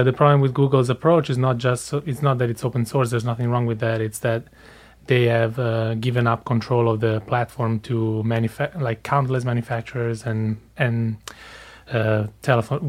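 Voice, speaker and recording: A man, a security reporter, explaining a topic informally with fillers. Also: mid-range voice. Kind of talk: explaining in conversation.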